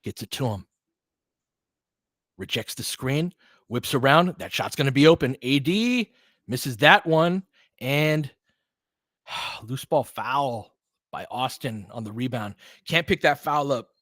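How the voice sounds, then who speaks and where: A man's voice: mid-range voice, expressive, slow pace, pauses often, fairly bright tone, dry recording, studio quality. A man doing informal, partisan live basketball commentary as a fan host, talking casually with some mild swearing.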